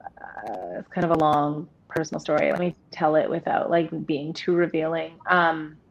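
A woman in her thirties with a deep voice, speaking openly and at length as an interview guest, with many restarts. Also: normal speed, vocal fry. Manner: open, rambling, restarts often. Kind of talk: candid personal conversation. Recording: dull lo-fi audio.

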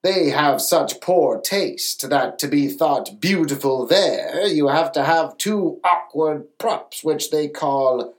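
A man voicing a proud, stern old mermaid grandmother in a storybook, with a slow, emphatic, lecturing manner. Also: fairly high pitch. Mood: emphatic, disdainful.

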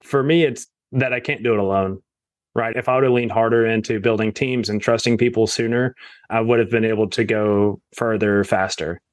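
Low-voiced man in a dry podcast interview. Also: steady tone, normal speed, no echo. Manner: conversational, frequent "you know" and "right?" fillers. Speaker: real estate investor guest.

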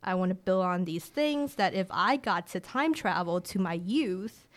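A woman in her late twenties, raised in rural China with a village tongue as her first language, talks as an interview guest in a relaxed, chatty way full of "like" fillers. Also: on the low side, a little slow. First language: a Chinese village language. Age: late 20s. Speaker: guest, director of family empowerment. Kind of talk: casual interview conversation.

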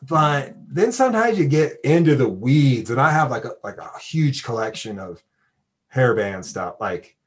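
A man's voice: mid-range voice, very animated, measured pace. Informal, rambling American man. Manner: informal, rambling, self-interrupting. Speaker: podcast host and radio DJ. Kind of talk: casual chat interview.

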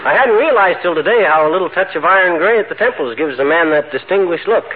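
A folksy, blustery middle-aged husband, with a very animated swing in his delivery. He is the vain grumbler of a 1940s radio comedy. The old broadcast is narrow and noisy, with a studio audience behind him. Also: sits high, fast, a faint crowd. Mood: vain, pleased.

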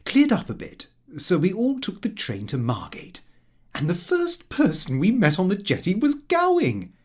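A man reading a comic first-person diary as an audiobook, in a prim, expressive, self-important manner. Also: sits high, normal speed, breathy edge. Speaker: audiobook narrator.

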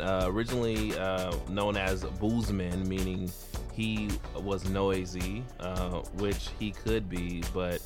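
A man co-hosts a relaxed beer podcast, jokey and easygoing, calling people man and bro. His voice sits low. Faint music runs under the chat. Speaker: podcast co-host. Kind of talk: casual chat over beer.

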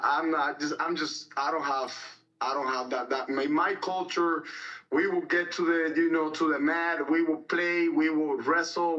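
A man's voice: on the high side, sharp tone. A man from Cuba, a mixed martial arts fighter telling his story in a podcast interview, blunt and rambling, repeating words and swearing freely. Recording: dull lo-fi sound, distant from mic.

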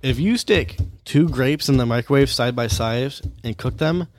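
A man in his twenties on a casual podcast, sharing trivia facts quickly and getting defensive when teased. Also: mid-range voice, a little hiss or hum. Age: 20s. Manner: quick, defensive, fumbling restarts. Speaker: podcast co-host reading facts.

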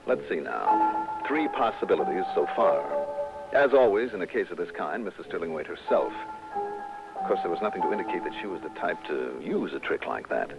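An American man playing a shrewd, wry insurance investigator in a 1960s radio detective drama, speaking deliberately as he reasons through his case. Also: low voice, creaky, heavily acted. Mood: wry, pondering. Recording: dull vintage radio recording with music.

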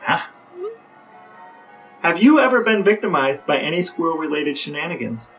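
A man's voice: fairly high pitch, big pitch swings, normal speed, fairly bright tone, far from the mic, faint music behind. A man co-hosting a podcast in casual chat, asking tongue-in-cheek questions in a mock-serious way. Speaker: podcast co-host.

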